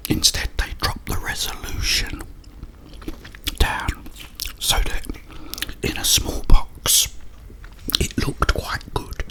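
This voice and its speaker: Guy doing whispered asmr ramble, really slow, stops and starts, breathy. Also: low-quality recording. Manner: halting, pausing between short phrases. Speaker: ASMR creator and host. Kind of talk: whispered ASMR ramble about a video game.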